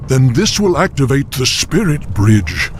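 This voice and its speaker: A man's voice: mid-range voice, big pitch swings, measured pace, slight background noise. A man playing a wise old beast-folk chieftain in a video game cinematic, speaking with solemn, cautious weight. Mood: awed.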